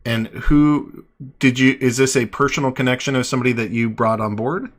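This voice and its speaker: A man in his thirties hosting a live online call-in show, relaxed and chatty, with many fillers and restarts. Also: mid-range voice, a little fast. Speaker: host. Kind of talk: casual live call-in chat.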